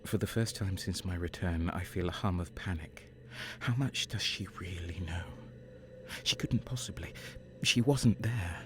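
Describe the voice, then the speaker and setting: An actor playing a cynical, faithless deserter soldier on edge, narrating an audio drama in a brooding voice that drops into whispers. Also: male voice, low voice. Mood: panicked. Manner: slow, brooding, breaking into whispers. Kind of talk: audio drama first-person narration and dialogue. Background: music.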